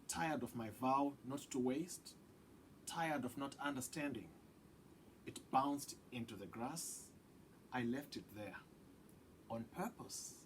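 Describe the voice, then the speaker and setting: A man reading a children's picture book aloud with deliberate, dramatic phrasing that drops into hushed tones. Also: mid-range voice, slight background noise, rough recording. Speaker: read-aloud storyteller.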